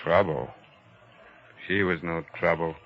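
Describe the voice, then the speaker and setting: An actor playing an earnest, reflective ship's doctor, narrating solemnly and deliberately in a 1940s radio drama. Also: male voice, low-pitched, steady tone. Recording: muffled vintage 1940s radio recording.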